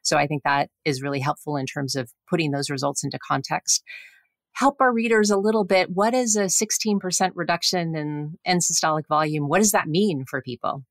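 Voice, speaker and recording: A woman's voice: low-pitched, steady tone, brisk, dry recording. A woman hosting a professional medical interview in a conversational style. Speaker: podcast host, physician.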